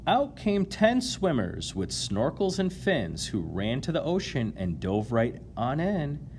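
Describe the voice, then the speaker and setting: A man reads a picture book to children with very lively, changing intonation. He takes his time, stretching key words playfully and turning to chat with his listeners. There is faint noise behind his voice. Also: medium pitch, slow pace. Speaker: storyteller reading a children's picture book aloud. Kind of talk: animated read-aloud to children, with asides to listeners.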